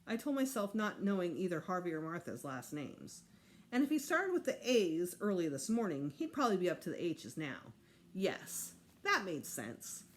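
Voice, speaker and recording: Woman reading a storybook with lively expression. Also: lowish voice, normal speed, a little hiss or hum. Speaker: teacher reading aloud to students. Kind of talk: animated children's storybook read-aloud.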